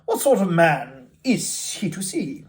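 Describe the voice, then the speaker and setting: A man voicing a reserved lawyer who asks probing questions in a measured, inquiring way, in a storybook reading. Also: high-pitched, very animated, heavily acted. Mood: curious, probing.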